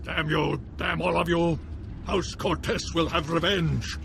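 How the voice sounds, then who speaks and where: An actor playing a proud, imperious baron in a video game, with a husky voice and grand formal delivery. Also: male voice, on the high side, fairly even intonation, unhurried, background noise. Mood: defiant, vengeful.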